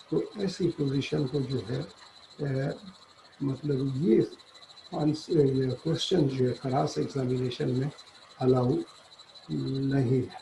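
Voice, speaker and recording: A man giving an explanatory law lecture, halting and deliberate, reading out formal passages and switching between languages to paraphrase them. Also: fairly high pitch, vocal fry, dark tone, slight background noise, poor audio.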